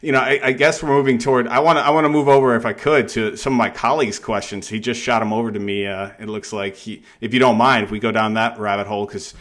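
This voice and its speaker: A man's voice: mid-range voice, fairly even intonation, fast, few pauses, a bit bright. A man interviewing a guest on a podcast in an informal, somewhat meandering and hesitant way. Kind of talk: casual interview chat.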